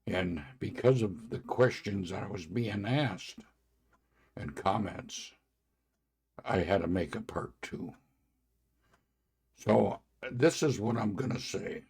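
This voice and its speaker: A hoarse man with a sore throat hosting a podcast, talking through a casual faith testimony in an earnest, halting way with many filler pauses. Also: on the low side, darkish tone. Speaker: podcast host.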